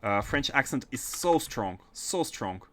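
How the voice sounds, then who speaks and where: A man's voice: medium pitch, animated, unhurried, a bit bright. A man with a Russian accent chatting casually on a gaming livestream, often repeating and restarting his phrases as he plays. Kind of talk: casual livestream chat while playing.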